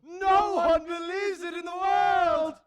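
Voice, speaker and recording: A man on a theatre stage playing a gossiping townsman, spreading scandal in drawn-out, emphatic phrases. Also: extremely high pitch, level tone. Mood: scandalized. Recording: live theatre stage with music behind.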